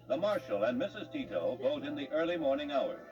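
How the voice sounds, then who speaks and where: Male American newsreel announcer, 1950s, declamatory, high lively pitch, muffled old soundtrack. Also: brisk, recorded from a distance. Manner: dramatic, declamatory announcer delivery. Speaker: newsreel narrator. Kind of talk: 1950s newsreel scripted narration. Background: loud music.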